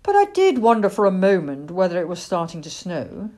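A woman's voice: lowish voice, wildly swooping pitch, a little fast, dark tone. A woman voicing a laid-back, modest, kindly male cat with a polite drawl for a storybook. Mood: languid.

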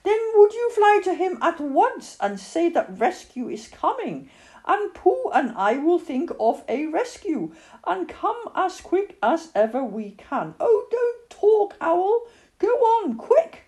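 A woman's voice: very high-pitched, sing-song, slow, dark tone, pitched above the performer's own voice, heavily acted. A woman voicing an eager, affectionate, earnest little boy in a children's storybook. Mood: urgent.

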